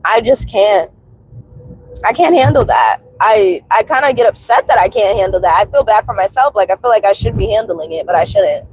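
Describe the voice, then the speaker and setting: A woman's voice: medium pitch, expressive, normal speed, husky, low-quality recording. A woman in her twenties chatting casually and candidly to her viewers in an emphatic, colloquial way, sounding stuffy from a cold. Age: 20s. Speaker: host of a personal commentary vlog. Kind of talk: casual, confessional chat to viewers.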